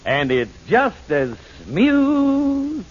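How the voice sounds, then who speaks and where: Gleeful 1940s commercial fan, extremely drawn-out, singsong swoops, dull old broadcast sound. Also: male voice, very high voice, wildly swooping pitch, exaggerated voice. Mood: exuberant. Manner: drawn-out, exuberant slogan delivery. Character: enthusiastic male beer fan in an advertisement. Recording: dull 1940s radio recording.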